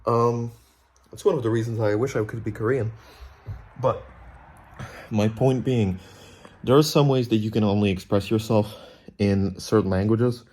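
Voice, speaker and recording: Low-voiced young man. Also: fairly even intonation, normal speed, long pauses, darkish tone. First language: Polish. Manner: rambling, blunt, swears freely. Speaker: vlogger. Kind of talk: casual monologue to camera.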